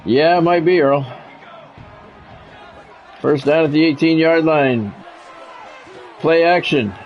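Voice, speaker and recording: A man's voice: on the high side, slow, highs cut off, soft background music, a faint crowd. A middle-aged man giving live play-by-play of a football video game, mixed with offhand, conversational chat and casual swearing.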